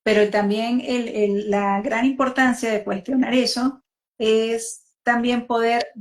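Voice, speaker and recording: A woman born in Venezuela hosting a talk, speaking reflectively and with measured restarts about raising her children. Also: a little high, steady tone. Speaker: host, mother. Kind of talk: conversational talk.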